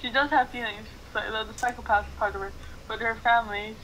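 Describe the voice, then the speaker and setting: A woman's voice: on the high side, fairly even intonation, brisk, fairly bright tone. A woman chatting casually as a friend reacting along on an online voice call. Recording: slightly noisy voice call.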